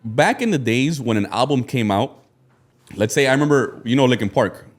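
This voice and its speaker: A man co-hosting a casual podcast, teasing his friend with quick slangy comebacks. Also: medium pitch, animated. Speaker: podcast co-host. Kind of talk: casual chat.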